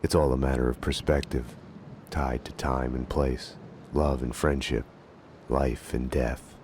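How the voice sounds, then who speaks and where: An actor playing a world-weary hard-boiled detective, narrating a noir game in a grim deadpan. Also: male voice, very deep voice, unhurried, slight background noise. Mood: brooding, grim. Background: music.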